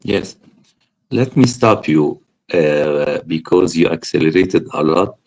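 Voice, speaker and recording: A man from Egypt, an English speaker, hosting an interview and talking haltingly with many fillers while he searches for words. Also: low-pitched, darkish tone, poor audio.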